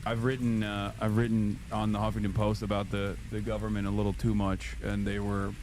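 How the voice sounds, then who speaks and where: A man's voice: lowish voice, completely flat delivery, fairly quick, a little mellow, slight background noise. A man from Turkey hosting a livestream who chats casually and rambles with lots of restarts while ordering food. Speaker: streamer host.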